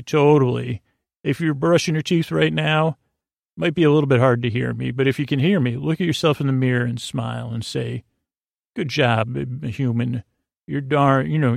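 Creaky-voiced man rambling at bedtime. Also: medium pitch, no room sound, studio quality. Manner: meandering and halting, drifting into absurd tangents. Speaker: podcast host. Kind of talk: rambling, low-stakes bedtime monologue meant to lull listeners to sleep.

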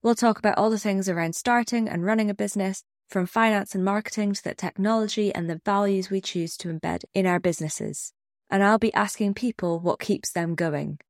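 A woman's voice: medium pitch, a little fast, no room sound, clean studio sound. A woman with a cold, sounding a bit snuffly, hosting her own podcast and reflecting candidly in a scripted solo talk. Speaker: podcast host.

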